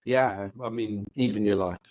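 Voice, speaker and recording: A man's voice: fairly low pitch, fairly even intonation, normal speed, a little mellow, no echo. A man in his fifties, raised in the UK, talking as a podcast guest in an upbeat, reflective way, with many hesitations and restarts. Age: 50s. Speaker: guest, housing organisation chief executive. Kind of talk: conversational podcast interview.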